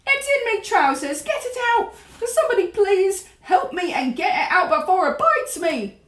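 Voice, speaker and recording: A woman voicing a panicking cook, a grown man yelling and screaming frantically in a storybook reading. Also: extremely high pitch, big pitch swings, moderate pace, echoey room, voice pushed up, exaggerated voice. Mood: panicked.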